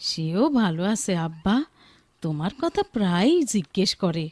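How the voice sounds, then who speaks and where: An actress playing a gentle, dutiful village daughter-in-law and mother in a Bengali radio drama, speaking earnestly with a rural Bangladeshi accent. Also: lowish voice, lively intonation, moderate pace, no room sound. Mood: reassuring.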